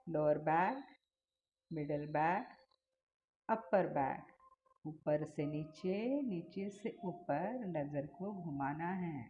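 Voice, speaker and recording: Indian female meditation guide, low-pitched and soothing, unhurried instructional delivery with long pauses, slightly noisy recording. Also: a little mellow. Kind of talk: guided body-scan meditation. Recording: dull lo-fi sound with faint noise.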